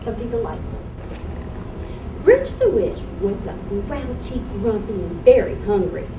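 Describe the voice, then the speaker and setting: A woman playing a storybook narrator, reading a children's tale aloud with deliberate emphasis on the describing words. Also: a little high, sing-song, lo-fi sound. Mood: dramatic storytelling. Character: storybook narrator telling of a grouchy, hungry witch. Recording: echoey, noisy room, distant microphone.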